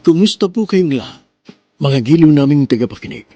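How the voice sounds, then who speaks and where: A man's voice: fairly high pitch, animated, brisk, dark tone, dull audio. A man hosting and narrating a radio romance drama in an earnest, sentimental storytelling manner.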